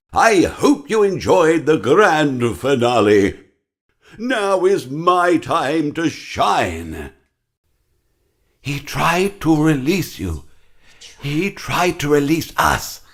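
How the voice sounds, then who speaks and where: An actor playing a vengeful, theatrical haunted animatronic villain in a horror game, with a husky, raspy voice. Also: male voice, fairly high pitch, very animated, slow, exaggerated voice. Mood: theatrical, gloating.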